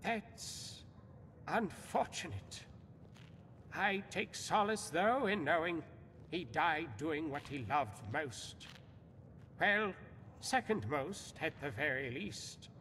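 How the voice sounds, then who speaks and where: High-pitched, animated gentleman scholar. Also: takes it slowly, heavily acted. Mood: wry regret. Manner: refined, polite, expressive. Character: cultured, chatty, excitable traveling artifact scholar. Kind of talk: fantasy video game dialogue. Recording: reverberant hall, faint noise.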